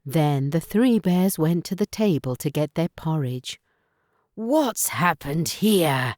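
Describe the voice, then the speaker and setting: A woman narrating a children's bedtime story in careful, expressive phrases. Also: deep voice, unhurried, dry recording, clean studio sound.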